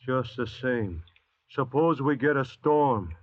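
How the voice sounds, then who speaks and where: An actor playing a suspicious cutthroat thief in a vintage radio drama, talking bluntly and grumbling. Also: male voice, mid-range voice, lively intonation, takes it slowly, no echo. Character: gruff, suspicious cutthroat thief, an escaped convict. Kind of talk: 1940s radio drama acting. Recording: muffled vintage radio recording.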